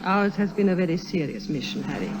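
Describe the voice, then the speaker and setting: A woman playing a secretive, formal young schemer in an old radio drama, speaking in a measured, dramatic way. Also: lowish voice, lively intonation, close to an everyday voice, low-quality recording. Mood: grave, confiding. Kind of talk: 1950s radio drama acting. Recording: vintage radio drama recording.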